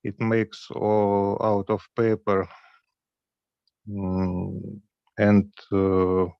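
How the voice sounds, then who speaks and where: A man with a Ukrainian accent speaks very slowly and haltingly, pausing often with nervous fillers. His low voice is gravelly yet smooth. The call audio is dull and lo-fi. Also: monotone, no echo. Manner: hesitant, many pauses and fillers, nervous. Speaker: guest modeler. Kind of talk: halting show-and-tell on a video call. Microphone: video call.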